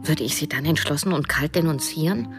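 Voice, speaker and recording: An actress as a troubled, self-questioning woman, telling her story as first-person narrator in an introspective, deliberate way. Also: deep voice, music in the background. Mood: brooding. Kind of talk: scripted radio drama narration.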